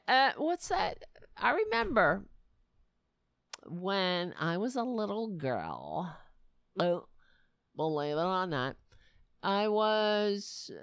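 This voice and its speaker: A woman's voice: fairly low pitch, clear, resonant tone, no room sound, clean studio sound. A woman from Staten Island, telling a rambling, profane comic story in a casual monologue and laughing at her own jokes. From Staten Island, New York.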